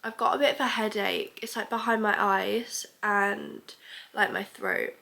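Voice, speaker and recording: A woman's voice: mid-range voice, steady tone, unhurried, vocal fry, pushed and bright. A young woman chatting casually in a reading vlog, stuffy with a cold and rambling as she thinks aloud. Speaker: book vlogger.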